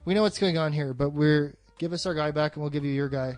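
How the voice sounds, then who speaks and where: Man, a bit high voiced, casual sweary podcast chat, faint music behind. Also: quick pace, darkish tone, no room sound. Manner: profane, colloquial. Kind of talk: casual chat, retelling a film plot.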